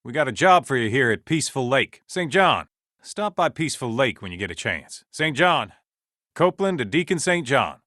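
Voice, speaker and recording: A man's voice: medium pitch, sing-song, moderate pace, sharp tone, no room sound, clean studio sound. A man acting a folksy, commanding survivor camp leader in a video game, speaking plainly and naturalistically. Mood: businesslike, calling out.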